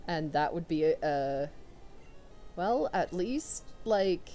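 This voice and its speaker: A young woman commentating a video game run, loud and excitable, talking to her characters as she plays. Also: on the low side, slow pace, faint music behind. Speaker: let's player. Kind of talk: casual gameplay commentary.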